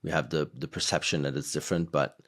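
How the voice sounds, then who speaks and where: Very deep-voiced rambling man. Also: fairly even intonation, fast talker. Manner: rambling, filler-heavy, laughs between points. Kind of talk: casual conversation.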